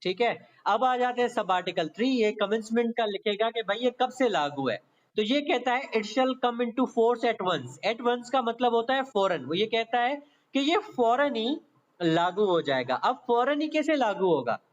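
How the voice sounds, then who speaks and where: A man's voice: very high-pitched, animated, a little slow. A man with a Pakistani accent teaching law in a conversational exam-prep style, explaining step by step with repetition and frequent check-in tags. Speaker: law lecturer. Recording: dull, lo-fi lecture audio.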